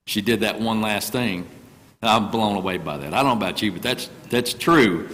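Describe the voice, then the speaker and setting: A man's voice: on the low side, moderate pace, fairly bright tone. Older man preaching in a reverberant church. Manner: earnest, folksy storytelling with repeated phrases. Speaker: guest preacher. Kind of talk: sermon. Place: church sanctuary. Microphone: microphone through speakers.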